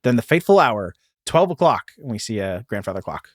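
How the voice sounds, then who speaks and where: A man with a very lively, swooping delivery retells a comic book story in a dry, close recording. He hosts it like a podcast and throws himself into the characters' lines with drama. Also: fairly low pitch, brisk, dry recording. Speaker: podcast host.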